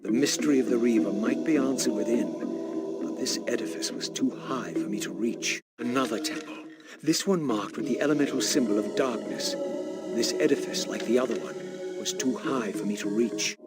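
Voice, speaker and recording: An actor playing a bitter, brooding undead wraith, narrating a video game in a grave, formal, theatrical manner. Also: male voice, medium pitch, moderate pace, loud music behind the voice, close to an everyday voice. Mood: grave, reflective. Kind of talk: scripted dramatic game narration.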